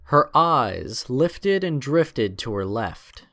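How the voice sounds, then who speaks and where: A man's voice: mid-range voice, very animated, very slow, a bit bright. A man narrating a scripted adventure story in a dramatic storytelling style.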